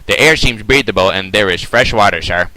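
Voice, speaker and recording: A man voicing a spaceship computer in a slow, flat, matter-of-fact way. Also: fairly low pitch, dry recording, rough recording.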